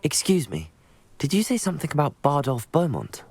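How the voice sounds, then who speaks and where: A man's voice: on the high side, huge pitch swings, moderate pace, darkish tone, husky, exaggerated voice. A young man playing a polite, helpful teenage student in a video game, speaking in a courteous, earnest way. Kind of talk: video game dialogue acting.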